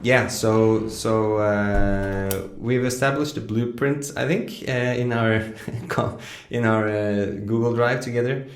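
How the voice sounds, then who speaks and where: A man explaining his sound design work in a casual, halting interview style with frequent hesitations. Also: fairly low pitch, steady tone, clear, resonant tone. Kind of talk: casual behind-the-scenes explanation.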